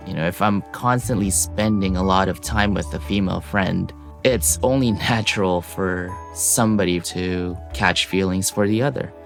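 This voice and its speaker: A man's voice: low-pitched, vocal fry. A man giving his opinion in a casual, conversational way. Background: music behind the voice.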